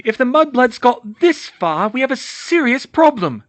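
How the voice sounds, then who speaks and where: A man voicing a cruel, manic witch woman who hisses and shrieks in a frantic, shrill way. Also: big pitch swings, unhurried, a bit bright, no echo, heavily acted. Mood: furious.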